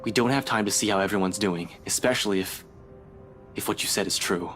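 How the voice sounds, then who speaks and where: A man's voice: fairly low pitch, fairly quick, a bit bright, faint music behind, natural voice. An actor playing a blunt, matter-of-fact male police officer. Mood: grim.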